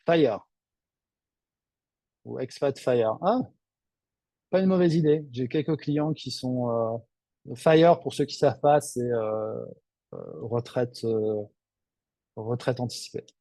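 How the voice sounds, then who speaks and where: Male, webinar adviser fielding questions off the cuff; relaxed, slightly dark tone, dry close sound. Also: medium pitch, dry recording. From Lille, northern France. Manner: off-the-cuff, conversational, frank. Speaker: financial adviser hosting a webinar. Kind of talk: informal question-and-answer session, reading out and answering questions.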